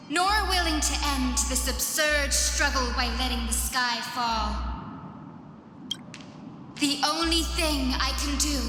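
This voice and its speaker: An actress playing a gentle, self-sacrificing young woman in a video game, speaking in a tender, lyrical and solemn way. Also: high-pitched. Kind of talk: dramatic game voice acting. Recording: very echoey long reverb, music behind. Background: game music.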